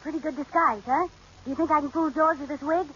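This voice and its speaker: An actress playing a chirpy, scatterbrained young housewife in a 1940s radio comedy. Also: female voice, sits high, fast, fairly bright tone. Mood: flustered. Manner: chirpy, flustered, wisecracking. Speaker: wife in a radio sitcom. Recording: dull lo-fi old radio recording with faint hiss.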